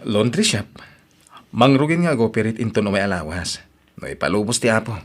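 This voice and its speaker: A man voicing a cheerful young businessman, lively and eager, in an audio drama. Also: on the low side, fairly quick. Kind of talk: Ilocano audio drama acting.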